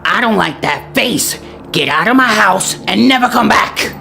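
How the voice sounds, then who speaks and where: An exasperated parent played by a woman scolds with theatrical flair in a family comedy skit. The voice is gravelly and very animated in pitch, and music plays behind it. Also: measured pace. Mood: scolding, exasperated. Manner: dramatic, scolding. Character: exasperated, scolding parent arguing with a bratty kid.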